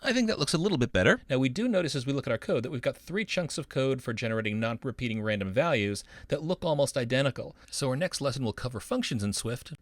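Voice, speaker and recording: A man's voice: medium pitch, expressive, fast talker, few pauses, no echo. Encouraging man teaching, close dry sound. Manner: instructional, encouraging, upbeat. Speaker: programming instructor, university professor. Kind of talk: scripted tutorial narration of live coding.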